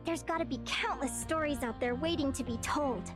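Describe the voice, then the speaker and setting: Game heroine: eager, inquisitive fae girl female actor, bright-eyed adventurer, high register, music under the lines. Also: moderate pace, natural voice. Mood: dreamy, eager. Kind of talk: video game character dialogue. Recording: music behind the voice.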